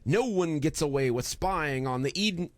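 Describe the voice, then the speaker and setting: Menacing otherworldly caller, played by a male narrator, angry and demanding, raised pitch, horror audiobook. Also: animated, moderate pace, no echo, natural voice. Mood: angry, threatening. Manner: demanding, threatening.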